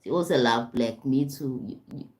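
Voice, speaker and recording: A woman with a remarkably deep, low voice hosts a casual spiritual live stream. She talks loosely and keeps checking in with her viewers, repeating words as she goes. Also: normal speed, a little mellow, poor audio. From South Africa. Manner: repetitive, frequent "right?" check-ins. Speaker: livestream host, spiritual coach.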